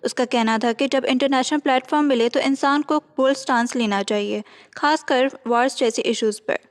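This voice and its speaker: High-pitched Indian woman narrating celebrity gossip. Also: moderate pace, big-room sound. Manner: mixes Hindi with frequent English words. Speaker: entertainment news presenter.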